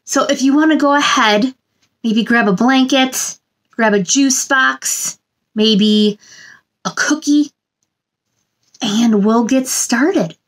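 A woman in her thirties doing a children's read-aloud, with a gentle sing-song delivery and chatty asides addressed to young listeners. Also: a little high, slow, long pauses. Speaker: children's storytime host reading a picture book aloud. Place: small indoor room.

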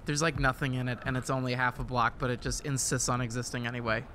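A man's voice: medium pitch, little pitch movement, quick pace, noisy background, lightly acted. An actor playing a supportive young male friend, casual, tentative and wry, in a naturalistic audio drama. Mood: gentle.

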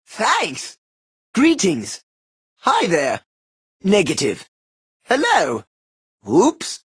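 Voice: medium pitch, husky, no room sound, clean studio sound, exaggerated voice. An actor voicing a bossy, earnest boy who leads kid operatives in a cartoon video game, clipped, commanding and melodramatic. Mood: crisp, formal.

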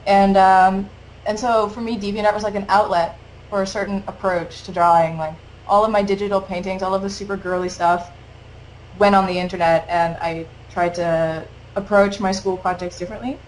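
A young Dutch woman talks casually and quickly, full of fillers and little laughs, her English carrying a mostly American accent. She sits in a small home studio and speaks into a webcam on a video call, with a faint hiss of noise and room ambience behind her. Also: medium pitch, little pitch movement, poor audio. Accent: American-leaning English. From Netherlands. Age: 20s. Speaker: guest artist. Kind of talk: casual interview conversation.

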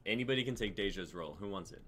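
A man's voice: fairly low pitch, lively intonation, very fast, fairly bright tone. A man in his twenties hosting casually on a video call with noisy audio. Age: 20s. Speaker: host of a script-reading stream.

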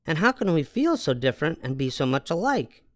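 A man voicing a small, naive, excitable young bird in a storybook. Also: fairly high pitch, fairly quick, no room sound, voice pushed up. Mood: wondering.

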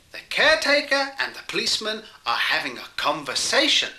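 Talking computer, radio comedy, low female pitch, sharp bright tone, formal announcements. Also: roomy sound, exaggerated voice. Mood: instructional. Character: school microcomputer teaching aide, a relentlessly bright talking machine. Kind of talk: radio comedy drama acting.

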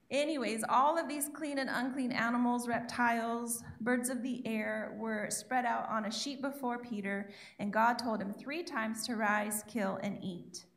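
A woman's voice: fairly high pitch, fairly bright tone. A young woman giving a sermon from her notes on a church stage, chatty and self-deprecating, speaking into a handheld mic. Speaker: guest preacher. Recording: echoey church room.

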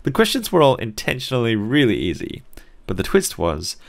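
A man's voice: mid-range voice, wildly swooping pitch, fairly quick, gravelly. Singapore-raised man with an Australian accent. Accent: Australian English, learned as an adult. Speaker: psychology teacher. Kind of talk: scripted video lesson narration.

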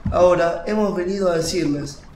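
A man's voice: high-pitched, a little fast, darkish tone, close to an everyday voice. An actor playing a young, devout fisherman in a radio drama, earnest and insistent. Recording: roomy, faint noise, water ambience.

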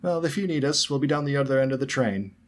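A man voicing cheeky, excitable teenage jokester boys in a story, with an animated, exclaiming delivery. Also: mid-range voice, quick pace, voice pushed up, close to an everyday voice. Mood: smug.

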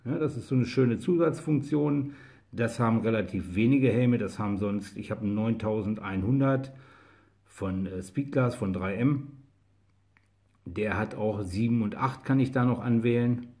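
A man's voice: fairly low pitch, normal speed, warm tone. A man reviewing a welding helmet in a casual, practical tone, with frequent fillers. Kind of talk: product review voice-over.

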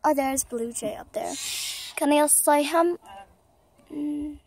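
A young vlog host chatting casually, filming on a handheld phone at an outdoor campground. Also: female voice, high voice, slow pace, darkish tone, a little hiss or hum.

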